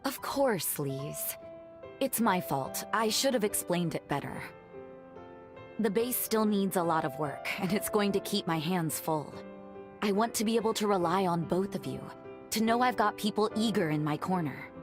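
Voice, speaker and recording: A woman's voice: medium pitch, animated, normal speed, music in the background, close to an everyday voice. A woman playing a spirited, protective sister in a video game cutscene, talking in a blunt, informal way. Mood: warm, relieved. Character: spirited, exasperated sister, a protective betrothed noblewoman.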